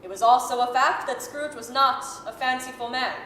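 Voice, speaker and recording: A woman narrating a radio drama as a measured, articulate, dramatic storyteller. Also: high-pitched, a bit bright. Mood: storytelling. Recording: echoey hall, faint noise.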